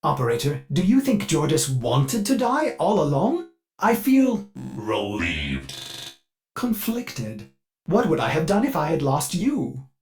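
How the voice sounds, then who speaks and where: A video game ship AI, male, fussy and eager to serve. His pitch swings widely, the voice is synthetic and glitchy, and his courtesy can break into bloodthirsty anger. Music plays along with the voice. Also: huge pitch swings, slow, darkish tone, full character voice. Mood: anxious, troubled. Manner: polite, eager and deferential, breaking into violent outbursts. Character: fussy, devoted ship computer AI, male, with flashes of bloodthirsty rage. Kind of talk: scripted video game character lines.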